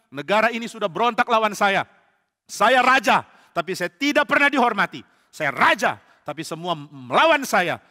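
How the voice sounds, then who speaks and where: A man puts on a paranoid, wrathful king who shouts every order. His voice rides high and hard, with long reverb trailing each line. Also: exaggerated voice. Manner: shouting, commanding. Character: furious paranoid male king.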